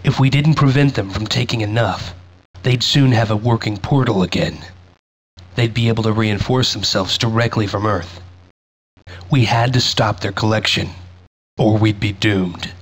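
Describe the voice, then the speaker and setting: Guy acting as a grim war vet narrating a game cutscene, low voice, solemn n measured. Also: lightly acted, rough recording. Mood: grave, reflective. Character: grave male soldier recounting a past war.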